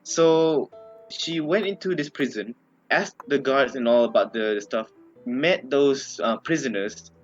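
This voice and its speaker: A man's voice: medium pitch, measured pace, highs cut off, faint music behind. A young man with a Malaysian English accent, co-hosting a casual podcast chat and laughing often. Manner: giggly, laughs often between lines. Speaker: podcast co-host.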